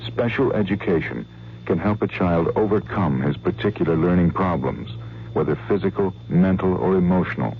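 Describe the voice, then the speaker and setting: A man reading a public service announcement in a slow, earnest announcer read. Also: low voice, animated, gravelly. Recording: dull old radio with hum.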